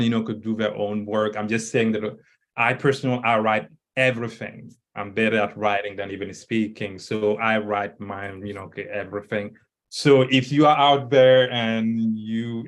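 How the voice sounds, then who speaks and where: A middle-aged man, not a native English speaker, talking on a video call through a headset, hesitant with many fillers and restarts. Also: fairly low pitch. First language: not English, not French. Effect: video call audio. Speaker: author and scholar. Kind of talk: casual panel talk. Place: indoor room.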